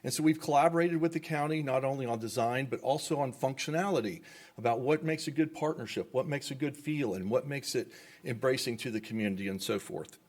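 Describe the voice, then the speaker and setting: A man's voice: medium pitch, a little fast, a little mellow. Enthusiastic man at public comment. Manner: enthusiastic, rambling with repeated words. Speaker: developer speaking at public comment. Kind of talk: public comment at a government meeting.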